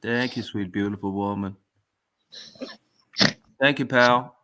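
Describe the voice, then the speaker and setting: A man from Canada hosting a call-in radio show, blunt and deadpan with crude jokes. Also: fairly low pitch, takes it slowly, background noise. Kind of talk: casual call-in radio chat.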